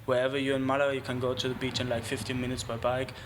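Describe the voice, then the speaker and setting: Young guy, german native speaking english, flat even voice, lots of "like", bit of studio hiss. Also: medium pitch. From Germany and Spain. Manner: loose, halting, heavy use of "like" and swearing. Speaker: guest, BMX rider. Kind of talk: casual interview.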